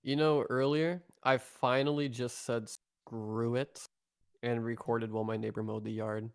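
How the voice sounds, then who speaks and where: A man's voice: medium pitch, flat delivery, measured pace, creaky, clear, resonant tone, dry recording, clean studio sound. A man co-hosting a podcast, chatting eagerly about recording gear. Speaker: podcast co-host, narrator. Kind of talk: casual podcast chat.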